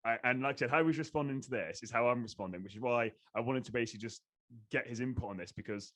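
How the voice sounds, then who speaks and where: A man's voice: mid-range voice, fast talker, fairly bright tone. A man hosting a podcast, speaking with enthusiasm and swearing freely. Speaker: podcast host. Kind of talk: podcast conversation.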